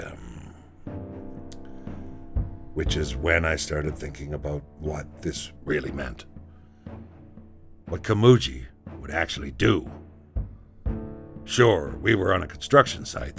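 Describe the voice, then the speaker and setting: Haunted worker in an audio drama, slow n intense, pitch all over the place, music behind. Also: male voice, creaky, exaggerated voice. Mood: agitated, reeling, then grim, brooding. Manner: intense, halting, theatrical storytelling with wide emotional swings. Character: haunted male construction worker recounting a grim deadly gamble. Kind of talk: dramatic first-person audio drama monologue.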